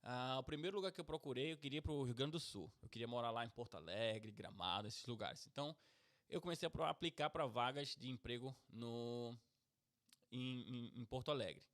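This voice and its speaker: A man's voice: medium pitch, fairly quick, a bit bright. Middle-aged Pernambucan man, casual podcast host, mid-range voice, talkative. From Brazil, Pernambuco. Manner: chatty, keeps tagging "certo?" and "beleza?".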